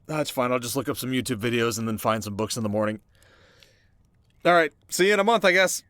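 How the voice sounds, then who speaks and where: Audio roleplay role, adult male performer: cocky young delinquent boy, earnest and nervous, casual animated delivery, dry recording. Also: mid-range voice, quick pace, no room sound, close to an everyday voice. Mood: flustered.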